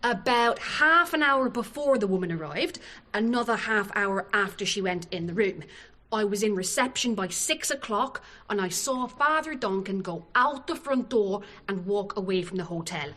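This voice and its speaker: A woman's voice: mid-range voice, animated, moderate pace, pushed and bright. A woman playing a chatty, deferential hotel chambermaid giving earnest, insistent answers in a radio drama. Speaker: actor playing a chambermaid witness.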